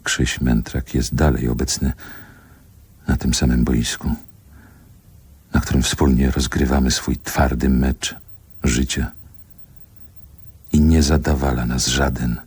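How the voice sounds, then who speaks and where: An older male actor playing a reflective poet recalling his youth in a radio drama, speaking in a measured literary manner. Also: extremely low pitch, flat delivery, a little mellow, slightly rough, no echo, heavily acted. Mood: nostalgic, reflective. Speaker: narrator and protagonist.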